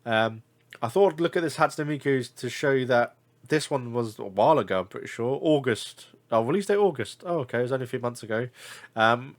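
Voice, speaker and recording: A man's voice: medium pitch, animated, a little fast. Male hobby vlogger, congested, casual rambling delivery with lots of "uh"s. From United Kingdom. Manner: rambling, many fillers and self-corrections. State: congested, blocked nose. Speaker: figure collector reviewing deals. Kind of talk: casual screen-share commentary.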